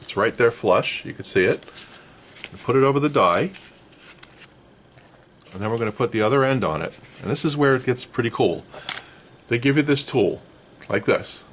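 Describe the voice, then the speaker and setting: A man's voice: mid-range voice, lively intonation, moderate pace, pauses often, slight background noise. A man talking casually as he hosts a hands-on how-to, explaining things step by step. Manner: explains step by step, checking with "okay". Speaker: host of a vintage radio restoration how-to. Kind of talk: casual hands-on tutorial talk.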